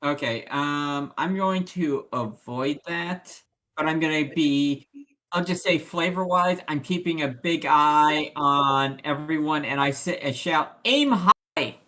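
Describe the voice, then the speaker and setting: A man's voice: on the high side, takes it slowly, sharp tone. A man chatting casually as a player in a tabletop game, often hesitant and asking questions.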